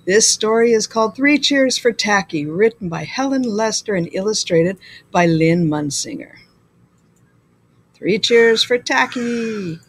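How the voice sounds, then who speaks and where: A woman reading a children's story aloud like a school librarian, with deliberate, expressive delivery. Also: medium pitch.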